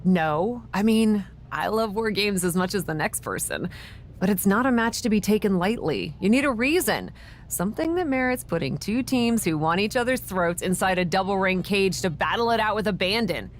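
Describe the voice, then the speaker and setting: A woman's voice: medium pitch, lively intonation, moderate pace, slight background noise, natural voice. A woman playing a wry, conflicted wrestler turned general manager in a video game, skeptical and dry in manner. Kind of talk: video game cutscene acting.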